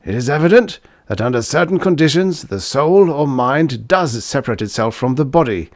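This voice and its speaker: A man's voice: mid-range voice, expressive, normal speed, no room sound, clean studio sound, voice pushed up, lightly acted. Man reading as an emphatic, lecturing male professor. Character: earnest male German professor declaiming a scientific theory.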